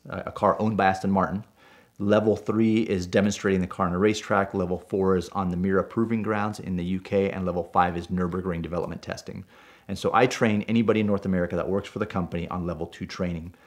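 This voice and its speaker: Deep-voiced man telling a story. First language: Spanish. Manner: animated, chatty storyteller. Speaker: driving instructor. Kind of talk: casual storytelling.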